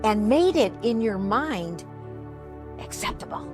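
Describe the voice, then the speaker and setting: A woman's voice: medium pitch, sing-song, slow, over music. A middle-aged or older woman hosting a casual interview, encouraging and affirming her guest. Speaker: interview host.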